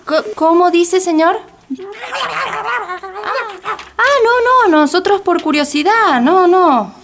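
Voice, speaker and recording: A woman's voice: very high-pitched, expressive, takes it slowly, vocal fry, a crowd in the background, full character voice. A woman playing a naive, curious, easily flustered lady in a radio play, exclamatory and questioning. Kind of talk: radio theatre acting.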